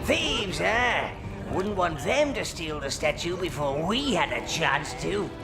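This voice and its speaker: An actor playing an old, servile and gleefully wicked minion advisor in a fantasy game, with a sly, obsequious manner. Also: male voice, a little high, animated, takes it slowly. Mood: sly, gleeful servility. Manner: obsequious, sly, relishing mischief. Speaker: advisor and guide to the player. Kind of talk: theatrical fantasy game voice acting. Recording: game music behind the voice.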